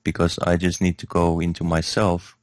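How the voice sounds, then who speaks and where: A man from Finland, a guest in a spiritual interview, speaking slowly and hesitantly with many fillers and restarts. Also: sits low, completely flat delivery, no room sound, studio quality.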